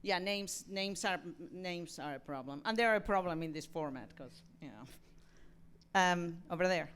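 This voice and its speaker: Female moderator, smooth clear voice, halting, encouraging, informal discussion with an audience. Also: fairly low pitch, fairly bright tone. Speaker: moderator of audience feedback session. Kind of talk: informal post-show discussion.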